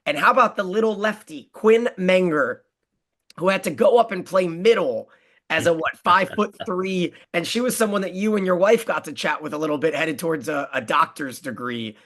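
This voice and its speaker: Guy hosting a sports podcast, voice a bit on the high side, excited recap with lots of stats. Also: normal speed. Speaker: podcast host.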